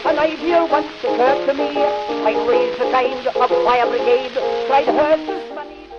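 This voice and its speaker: A woman performs an old music hall comic song in a high voice. The recording is old and dull, with loud music all around the voice. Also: normal speed.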